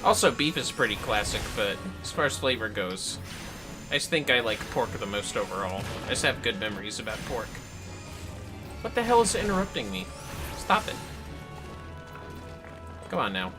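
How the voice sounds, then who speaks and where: A man's voice: on the low side, sing-song, normal speed, long pauses, over music. A man hosting a video game livestream with casual, playful chatter and muttered asides to the game. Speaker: livestream host playing an online video game. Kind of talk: casual gaming livestream chat.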